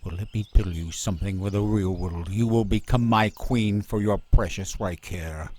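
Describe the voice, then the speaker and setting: A man's voice: lowish voice, moderate pace, darkish tone, recorded from a distance, lightly acted. An actor playing an arrogant young prince hungry for the throne in a radio drama, imperious and menacing as he declaims. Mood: angry, commanding.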